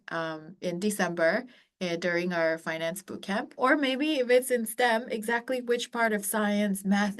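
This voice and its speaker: A woman in her forties, born in Korea and raised across Asia, founder of an education company, presenting a webinar to students in an earnest, encouraging way, pausing often with um and uh. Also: mid-range voice. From born in Korea, raised across East and Southeast Asia.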